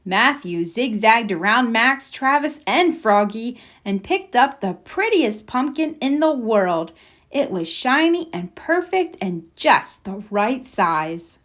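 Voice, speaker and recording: A woman reads a children's storybook aloud in a fairly high, very animated voice. She takes her time and plays up the sound-effect words. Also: takes it slowly. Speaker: storybook reader. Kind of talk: expressive children's read-aloud narration.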